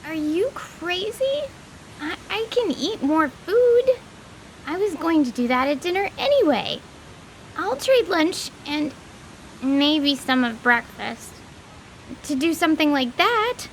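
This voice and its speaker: A woman's voice: very high voice, very animated, takes it slowly, a little hiss or hum, heavily acted, poor audio. A woman voicing an eager, exclamatory young girl adventurer in a tabletop radio play. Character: excitable, daring, food-loving young girl adventurer.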